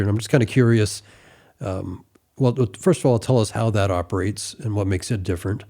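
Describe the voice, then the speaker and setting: A man's voice: low-pitched, dark tone. A man hosting a conversational interview, asking long, curious questions. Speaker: podcast host, interviewer.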